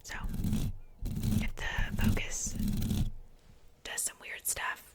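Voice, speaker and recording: A woman hosting an ASMR unboxing in a breathy, unvoiced whisper, softly repeating words and pausing between phrases. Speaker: ASMR video host.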